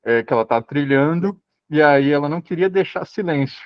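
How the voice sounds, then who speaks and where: A man's voice: fairly high pitch, lively intonation, a little fast, dry recording. Brazilian male moderator, dull lo-fi sound. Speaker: workshop moderator relaying participants' questions. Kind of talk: informal online moderation. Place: on a video call. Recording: dull lo-fi online call audio.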